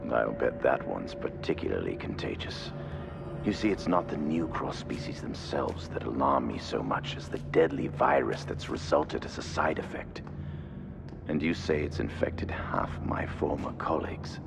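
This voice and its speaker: A man's voice: expressive, moderate pace, over music, exaggerated voice. A man voicing a brooding, wary scientist villain with grave, formal speech in a video game. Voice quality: very deep, gravelly.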